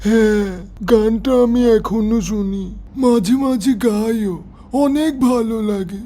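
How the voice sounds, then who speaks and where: A man's voice: high-pitched, slow pace, mellow. A man with a Bangladeshi accent playing a shameless, evasive older politician in a satirical phone-call sketch, stubbornly defensive and pleased with himself. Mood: self-pleased.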